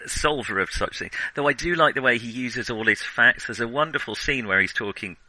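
A man's voice: medium pitch, expressive, fairly quick, sharp tone, no room sound, low-quality recording. A man co-hosting a podcast, chatting casually about a film, hesitant with many ums and restarts. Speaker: podcast co-host.

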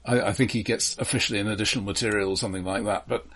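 A man's voice: lowish voice, fairly even intonation, very fast, a bit bright, slightly rough. A man co-hosting a film podcast in casual discussion, speaking hesitantly and repeating words as he thinks aloud.

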